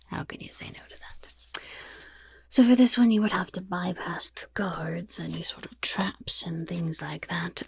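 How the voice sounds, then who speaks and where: A woman's voice: lowish voice, heavily acted. A woman playing a chatty, roguish goblin guild member in a whispered ASMR fantasy roleplay, with casual, conspiratorial delivery and wry asides. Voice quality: breathy, whispery.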